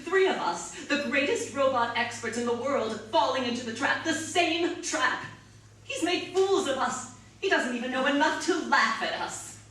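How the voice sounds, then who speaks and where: A woman's voice: sits high, lively intonation, moderate pace. A woman acting as a bitter, coldly relentless robot scientist in a radio drama, with intense, biting, dramatic delivery. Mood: bitter amusement. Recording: echoey, distant, faint noise.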